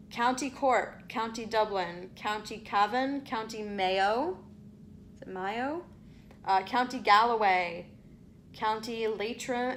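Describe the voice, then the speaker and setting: Young woman, casual vlogger, chatty asides, reads aloud, mid-pitched voice, faint hiss behind. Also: a bit bright. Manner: chatty, reads aloud with asides, stumbles over place names. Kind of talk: casual vlog reading out results.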